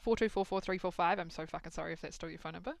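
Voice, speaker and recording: A woman's voice: fairly low pitch, racing, creaky. A young woman hosting a casual chat, laughing often and swearing casually. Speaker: podcast host.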